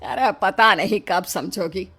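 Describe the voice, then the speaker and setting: A woman voicing a worried, affectionate older mother-in-law in a cartoon moral story, chiding in an expressive, motherly way. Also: medium pitch, takes it slowly, slightly rough, pitched below the performer's own voice, full character voice. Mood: exasperated.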